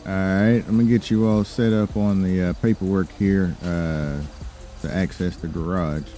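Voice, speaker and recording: A man playing a polite, businesslike lawyer and crime boss in an online game roleplay, talking casually in a courteous, hesitant, unhurried way. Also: sits low, steady tone, mellow, natural voice. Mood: calm, businesslike. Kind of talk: improvised in-character roleplay chat.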